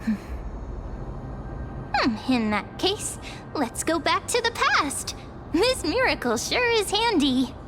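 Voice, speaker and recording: A woman voicing a small, cute, childlike fairy companion in a fantasy game, with a squeaky, perky, naive delivery. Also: big pitch swings, takes it slowly, long pauses, exaggerated voice. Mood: curious. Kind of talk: dubbed fantasy game dialogue. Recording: noisy game ambience behind.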